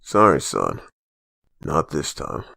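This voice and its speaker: A man's voice: rumbling low, wildly swooping pitch, slow pace, gravelly, bright, forceful tone, no room sound, studio quality, full character voice. A man voicing a stern, desperate father who leads a group of survivors in a comic dub. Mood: grave, subdued.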